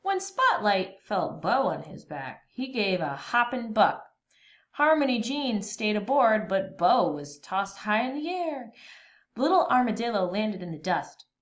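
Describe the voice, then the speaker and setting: A woman reading a children's storybook aloud expressively, with dramatic, deliberate pauses between phrases. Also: medium pitch, very animated, muffled recording.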